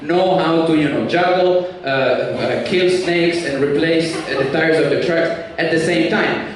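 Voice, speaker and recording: A young Italian man with a clear Italian accent works a comedy club crowd in a loose, teasing way, often jumping up into high, mocking voices. His voice sits a bit high for a man. It carries through a very echoey room, miked from a distance, with a noisy hall behind him. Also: unhurried. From Italy. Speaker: stand-up comedian. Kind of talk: stand-up comedy set.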